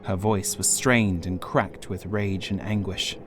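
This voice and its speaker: A man reading a horror audiobook as scripted dramatic narration, grave, measured and suspenseful. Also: low-pitched, steady tone. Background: music.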